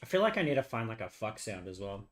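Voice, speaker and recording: A hoarse young man chatting casually with viewers on a live stream, rambling, laughing often and swearing freely. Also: fairly low pitch, big pitch swings, fast, fairly bright tone.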